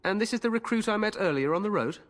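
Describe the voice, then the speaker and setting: A man's voice: high-pitched, lively intonation, fast talker. A glory-hungry young king. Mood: regal. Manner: regal, confident, commanding. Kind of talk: video game voice acting. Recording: long hall reverb with game music behind.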